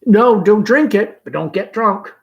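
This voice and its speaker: A man acts out a confident young gentleman caller in a stage play. He is hearty and gallant, with gentle humor. The pitch sits lifted and the delivery is easy and outgoing. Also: expressive, a little slow, creaky, muffled recording, heavily acted. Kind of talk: play read-aloud.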